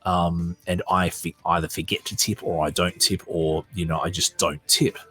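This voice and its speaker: A man with an Australian accent and a husky voice, hosting a podcast, reading aloud and commenting off the cuff in a conversational way. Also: deep voice, little pitch movement, normal speed, pushed and bright, no room sound, faint music behind. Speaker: podcast host.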